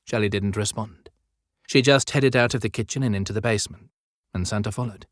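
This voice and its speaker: A man narrating an audiobook story in a measured, descriptive storytelling style. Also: lowish voice, no echo, studio quality. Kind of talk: scripted dramatic storytelling narration.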